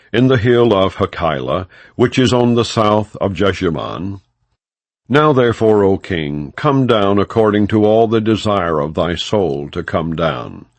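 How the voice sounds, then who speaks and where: A man reading scripture as an audiobook narrator, in a solemn, measured and formal delivery without dramatization. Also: sits low, dry recording. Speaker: audiobook Bible reader.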